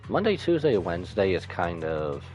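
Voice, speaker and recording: Male game streamer, low but animated, rambling casual chat over faint music. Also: normal speed. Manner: rambling, thinking aloud. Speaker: live streamer. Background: video game music and dialogue.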